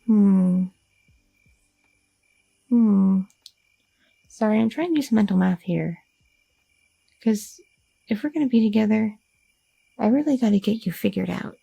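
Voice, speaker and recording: A woman's voice: medium pitch, measured pace, creaky, long pauses, mellow, husky, dry recording, dull audio, heavily acted. A woman voicing a curious, chatty young girlfriend in an ASMR roleplay, rambling with many fillers and nervous questions. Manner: rambling, inquisitive, filler-heavy talk.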